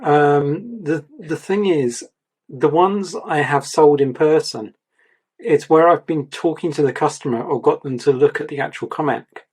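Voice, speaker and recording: A man reviewing an artist's portfolio, talking in a rambling, repetitive way, his voice going a little croaky. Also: on the high side, a little slow, darkish tone. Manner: rambling, repeats words, gives advice. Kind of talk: casual mentoring chat.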